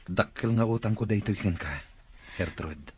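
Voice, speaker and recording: A man's voice: lowish voice, normal speed, a little hiss or hum, natural voice. A man acting in a radio drama as an earnest, solemn male boss in recovery, with an Ilocano accent. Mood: grateful, hopeful.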